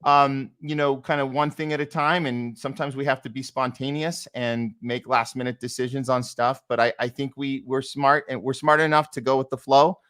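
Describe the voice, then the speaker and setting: Male host, medium pitch, informal conversational talk show delivery. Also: fairly even intonation, moderate pace, hardly any pauses, fairly bright tone, smooth. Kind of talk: casual talk show hosting.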